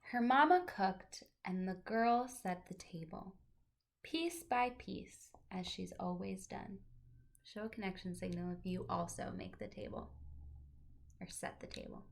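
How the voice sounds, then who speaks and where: A woman teacher reading a picture book aloud to young children, with deliberate phrasing and chatty asides to her listeners. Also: mid-range voice, gravelly.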